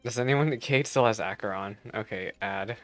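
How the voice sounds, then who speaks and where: A man's voice: fairly low pitch, normal speed, a bit bright, no room sound, faint music behind. A man giving casual, teasing live reactions as a game streamer, chatty and joking.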